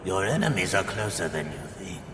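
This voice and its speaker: An actor voicing a sly, mock-courteous demon in a video game, theatrical and taunting with a gravelly edge. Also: male voice, low-pitched, sing-song, a little fast, noisy background. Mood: sly insinuating. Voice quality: creaky, gravelly. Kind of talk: dramatic video game acting. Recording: echoey hall reverb, music behind.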